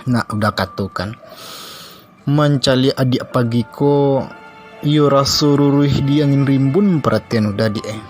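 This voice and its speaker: A man's voice: medium pitch, a little slow, close to an everyday voice. Male, Minangkabau accent, acting doting cartoon husband, poetic sweet talk, music underneath. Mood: amazed, smitten. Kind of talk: comic cartoon dialogue.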